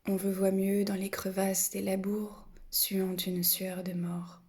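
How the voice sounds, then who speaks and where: A woman reciting a poem in a solemn, literary way, with a soft, breathy, near-whispered voice and measured, contemplative phrasing full of pauses. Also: mid-range voice, monotone. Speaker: poetry reader.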